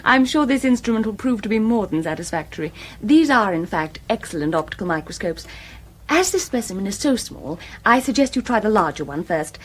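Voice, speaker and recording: A woman's voice: mid-range voice, expressive, brisk, slight background noise, lightly acted. An actress with a British accent playing a polite, precise saleswoman with a formal manner in a radio drama. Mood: helpful. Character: polite, precise, helpful shop assistant selling microscopes.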